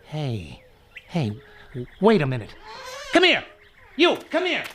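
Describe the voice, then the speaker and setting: A man's voice: a little high, wildly swooping pitch, slow pace, fairly bright tone, slight background noise, exaggerated voice. A man playing a brusque, shifty, bossy fellow at a desert house in an audio mystery drama. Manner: bossy, curt. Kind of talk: audio drama re-creation of 1930s mystery film.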